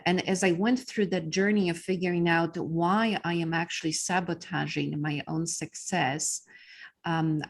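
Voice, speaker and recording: A woman's voice: sits low, slightly rough. A middle-aged woman from Poland with a Polish accent, a guest telling her story in a casual interview with many ums and uhs. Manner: frequent fillers, earnest storytelling. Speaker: podcast guest, business coach.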